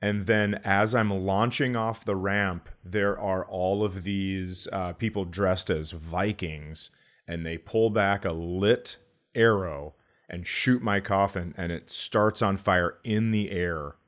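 Low voiced middle-aged guy, deadpan comedy podcast chat, joking around. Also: takes it slowly.

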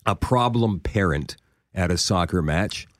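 A man's voice: sits low, measured pace, dry recording. A Canadian man hosting a casual talk show, setting up scenarios and quizzing his co-hosts. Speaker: host. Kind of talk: casual radio chat.

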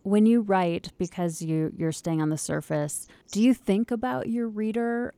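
A woman hosting a radio interview from a studio, asking questions in a calm, measured way. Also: medium pitch, clear, resonant tone, dry recording. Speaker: radio show host.

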